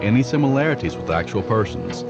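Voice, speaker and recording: A man's voice: on the low side, expressive, fast, creaky. A man reading scripted closing credits as a radio show announcer. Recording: dull lo-fi radio with loud music.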